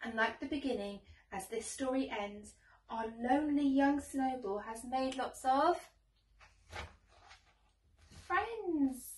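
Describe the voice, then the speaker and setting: Young female storyteller, higher voice, expressive rhyming read-aloud, recorded at a distance in a roomy space. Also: unhurried, a little hiss or hum. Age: young adult. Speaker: teacher reading a children's story. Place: small bedroom, indoors. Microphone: camera a few metres away. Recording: roomy echo, distant microphone.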